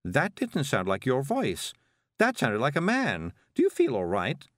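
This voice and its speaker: A man's voice: mid-range voice, wildly swooping pitch, unhurried, a bit bright, no room sound, pitched above the performer's own voice. A man voicing a kindly, fretful, busy woman witch from a storybook, in a fussy, earnest manner. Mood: concerned.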